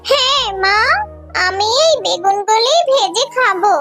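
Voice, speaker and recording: A woman's voice: very high-pitched, a little slow, music in the background. A woman voicing a stubborn little girl in a cartoon, childlike and wheedling. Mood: pleading, sulky. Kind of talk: cartoon voice acting.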